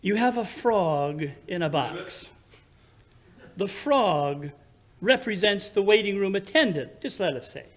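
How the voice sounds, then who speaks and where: A man playing a clever, patient gentleman detective, lecturing deliberately in old-time radio style. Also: high-pitched, lively intonation.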